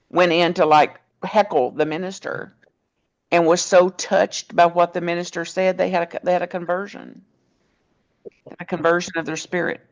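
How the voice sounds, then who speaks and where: Woman minister, gravelly texture, halting restarts, affectionate terms for others. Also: lowish voice. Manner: warm, calls people honey; halting, repeats words. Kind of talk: conversational interview answers.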